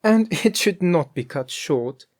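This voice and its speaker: A woman's voice: on the low side, lively intonation, slow pace, a little mellow, voice pushed up. A storyteller voicing a cheeky, greedy female cook from a storybook, merry, emphatic and full of excuses for herself. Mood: merry, exclaiming. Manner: emphatic, exclaiming. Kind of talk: storybook character voice.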